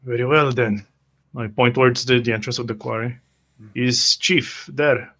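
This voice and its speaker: A man playing a reserved adventurer in a tabletop roleplay, narrating his actions in a terse, hesitant, measured way. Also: medium pitch, fairly even intonation, fairly bright tone. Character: slow-moving, reserved adventurer with creaky joints.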